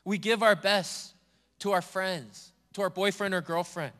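Pastor, male, higher register, earnest repetitive preaching in a church hall with a long echo. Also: a little slow, sharp tone. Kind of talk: sermon. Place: church sanctuary.

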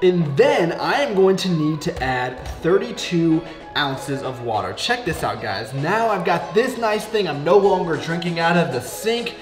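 A young man in his twenties with a somewhat raised voice chats upbeat and jokey straight into a handheld camera. He sounds close and casual. Music plays clearly underneath him. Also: fairly high pitch, big pitch swings, unhurried. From Texas. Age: 20s. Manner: upbeat, chatty, joking asides to viewers. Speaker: fitness vlogger. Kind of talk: casual vlog talk to camera. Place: gym, outdoors walking, home kitchen. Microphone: camera mic, handheld at arm's length.

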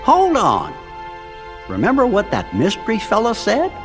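A tiny, dapper cartoon cricket in a video game. The very high voice is fussy and precise, swooping expressively, with clear music behind and a long reverb. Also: male voice, wildly swooping pitch, a little slow, full character voice. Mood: pensive. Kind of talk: video game cutscene voice acting.

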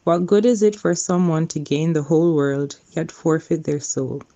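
A woman's voice: low voice, normal speed, mellow. A woman giving a formal Bible reading as a church lector. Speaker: scripture reader.

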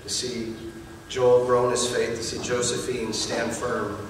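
A man in his forties leads a baptism as pastor, his talk light and encouraging. His voice sits in the middle range and is caught from a distance in a big reverberant hall, with steady room noise underneath. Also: mid-range voice, unhurried. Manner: warm joking, encouraging. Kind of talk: baptism address to congregation. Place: church stage in baptism tank. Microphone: handheld mic, distant room pickup. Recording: echoey hall from far off.

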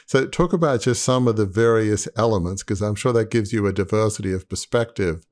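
A man's voice: on the low side, brisk, a little mellow. A man in his sixties hosting an interview, asking long rambling questions with many fillers over a video call. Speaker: podcast host. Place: home office. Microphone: earbuds on a video call.

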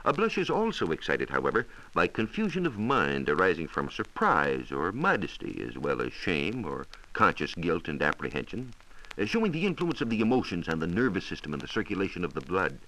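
Deep-voiced American man, vintage radio science talk. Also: huge pitch swings, bright, forceful tone, rough recording. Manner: measured, explanatory, formal wording. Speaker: science reporter on a radio show. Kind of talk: 1940s radio science talk, part scripted answers. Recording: 1940s radio transcription disc.

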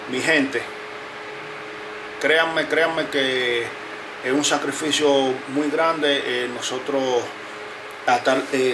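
A man, a barber, talking to his viewers in a casual, earnest way, often repeating himself and pausing with fillers. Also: medium pitch, background noise. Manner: earnest, repetitive, pauses with fillers. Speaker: barber and video creator. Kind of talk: casual talk to camera. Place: closed barbershop, indoors.

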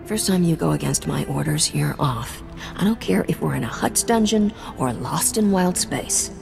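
A woman's voice: low voice, lively intonation, moderate pace, vocal fry, long reverb tail, music in the background, exaggerated voice. An actress playing a cocky female smuggler captain in a video game, dry, wry and blunt. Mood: firm, wry. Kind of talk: video game dialogue acting.

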